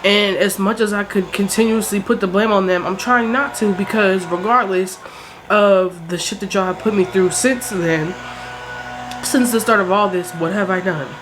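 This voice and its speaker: A woman's voice: medium pitch, moderate pace, noisy background. A woman in her twenties from South Jersey with a South Jersey accent, tearful and tired, venting frankly and with plenty of swearing about her struggles in a vlog. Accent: American, South Jersey. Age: 20s. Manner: frank, profane, weary. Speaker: vlogger. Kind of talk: emotional vent to camera.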